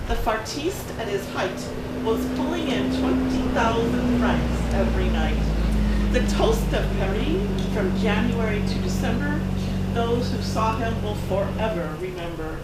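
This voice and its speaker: Woman author, animated high read-aloud voice; distant, reverberant gallery recording with background noise. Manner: expressive rhyming delivery with bouncy emphasis. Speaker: children's book author. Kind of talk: dramatic picture-book read-aloud. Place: art gallery event room. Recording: echoey room, distant, noisy.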